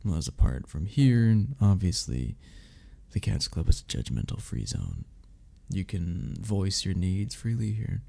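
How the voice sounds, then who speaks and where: A man acting a deep, gravelly, gentle flirt. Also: sing-song, unhurried, exaggerated voice. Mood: gentle, reassuring. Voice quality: gravelly, husky. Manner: soft-spoken, reassuring, hesitant and considerate. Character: gentle, patient, flirty male cuddle club member. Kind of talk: intimate ASMR romance roleplay.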